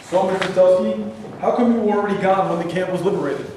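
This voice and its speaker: A man's voice: sits high, fast, warm tone, lightly acted. A man acting the part of a stern, probing official investigator in a staged reading of a play. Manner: official, questioning. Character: probing, stern male war-crimes investigator. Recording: very echoey room, distant mic, light noise.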